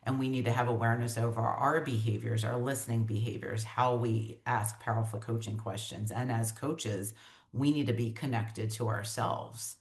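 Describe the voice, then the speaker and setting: A woman's voice: extremely low pitch, steady tone, normal speed, darkish tone. A woman executive coach as an interview guest on a video call, explaining her ideas with enthusiasm and some restarts.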